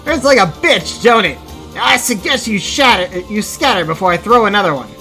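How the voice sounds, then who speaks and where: Woman doing a gruff, furious old grandmother. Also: medium pitch, expressive, moderate pace, bright, forceful tone, faint music behind, pitched above the performer's own voice, poor audio. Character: fierce tiny old grandmother, gruff and scolding.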